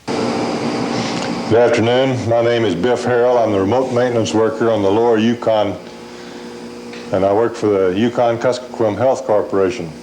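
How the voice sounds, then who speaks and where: A man's voice: fairly low pitch, fairly even intonation, normal speed, long pauses. A man giving a plain, folksy, hands-on instructional demonstration as a maintenance worker for a training film. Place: generator room in a pump house. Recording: echoey room, faint hum, 1980s training film.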